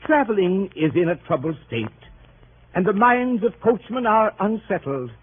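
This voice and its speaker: A tinny, hissy vintage radio recording carries pompous gentleman club leader. His voice is high and swoops widely as he holds forth with innocent, earnest grandeur, orotund and faintly hesitant, in 1930s comic radio manner. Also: expressive, normal speed. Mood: grandly oratorical. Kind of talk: 1930s radio comedy acting.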